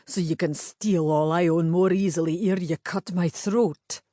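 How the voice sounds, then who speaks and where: A woman reading aloud plays a wounded Scottish knight, low and dark-toned, wary and snarling. The accent is Scottish, the manner suspicious and curt, and the sound is dry and close. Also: steady tone, measured pace, no echo. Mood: defiant. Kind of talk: audiobook character voice.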